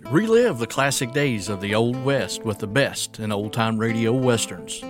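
A man's voice: mid-range voice, expressive, moderate pace, music in the background. A man reading scripted promotional narration as a podcast announcer.

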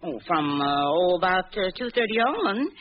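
An actress playing a folksy, expressive old mother who is secretly a sly crook, in a 1940s radio drama. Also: fairly low pitch, normal speed. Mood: folksy, sweet. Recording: muffled 1940s radio recording.

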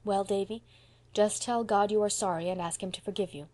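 A gentle young woman from a storybook , voiced by a woman reader. She speaks softly and patiently, with the kindly gravity of someone comforting a child. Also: mid-range voice, steady tone, brisk, natural voice. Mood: gentle, grave. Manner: soft-spoken, grave, kindly. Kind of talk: audiobook character voice.

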